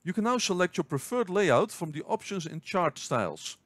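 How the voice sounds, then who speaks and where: Man with a lively, higher voice, explaining. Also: normal speed, no room sound. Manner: clear step-by-step explaining. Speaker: finance tutorial host. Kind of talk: scripted screen-recording tutorial.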